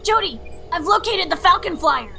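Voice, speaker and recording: A woman's voice: very high voice, expressive, moderate pace, vocal fry, pushed and bright, soft background music, full character voice. A woman playing an eager young tech navigator in an anime dub. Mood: earnest.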